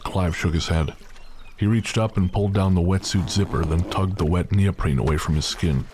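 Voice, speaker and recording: A man's voice: low-pitched, moderate pace, noisy background. A man narrating a scripted audio drama as its storyteller.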